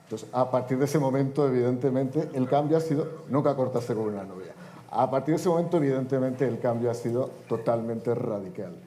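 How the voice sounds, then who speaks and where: Man with a mellow, wry orator's voice. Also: medium pitch, a little fast. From Spain. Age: 50s. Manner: wry, joking asides in an oratorical delivery. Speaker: panel speaker, politician. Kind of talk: panel debate talk. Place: conference hall panel.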